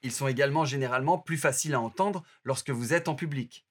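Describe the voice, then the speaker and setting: A man reading an audio course aloud in a steady, instructive way. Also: medium pitch, quick pace. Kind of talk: scripted narration.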